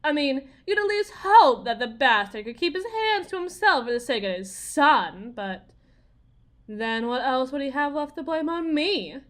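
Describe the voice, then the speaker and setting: A woman's voice: sits high, sing-song, measured pace. A woman playing a bitter, desperate young Southern US wife, confiding in a folksy, theatrical way in an audio roleplay monologue. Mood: scornful, defiant.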